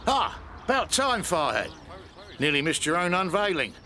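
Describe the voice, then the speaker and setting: Forceful, sardonic English pub landlord. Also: male voice, high voice, big pitch swings, moderate pace, a little hiss or hum, exaggerated voice. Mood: brisk, needling. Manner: sardonic, blunt. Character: touchy middle-aged pub landlord, blokey and suspicious. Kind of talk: radio soap acting.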